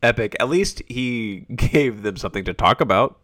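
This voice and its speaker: An American man chatting casually as a podcast guest, often halting as he searches for words. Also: medium pitch, animated, fairly bright tone, dry recording, clean studio sound. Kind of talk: casual chat.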